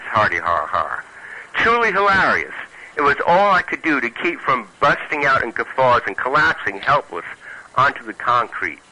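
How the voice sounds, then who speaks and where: A man with a Brooklyn accent reads his own comic writing aloud, his voice very bright and sharp. Between readings he chats as a guest, wry and self-mocking, stuttering and restarting when he speaks off the cuff. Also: medium pitch, low-quality recording. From Brooklyn, New York. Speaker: guest, humorist and stand-up comedian.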